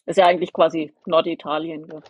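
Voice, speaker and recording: A woman's voice: sits low, little pitch movement, fast, breathy edge. A middle-aged woman chatting on a podcast panel from an indoor holiday room, friendly and hesitant. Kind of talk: casual remote chat.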